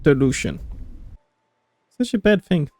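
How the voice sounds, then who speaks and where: A man giving casual, halting, low-key commentary on his own video game playthrough, with music behind. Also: sing-song, darkish tone, dry recording.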